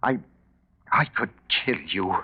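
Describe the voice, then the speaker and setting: An actor in a 1940s radio drama playing a worried, debt-ridden garage owner with a hesitant way of talking. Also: male voice, on the low side, slow pace, loud music behind the voice. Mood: pleading, desperate. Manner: hesitant, stammering, pleading. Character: anxious, debt-ridden garage owner, weary adult man. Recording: dull lo-fi 1940s radio recording.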